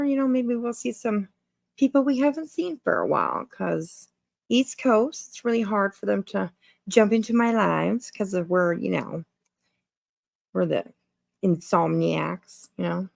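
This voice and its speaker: A woman's voice: mid-range voice, lively intonation, measured pace, vocal fry, dry recording. A woman hosting a casual livestream, chatting informally to viewers in a rambling way and repeating her phrases. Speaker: livestream host.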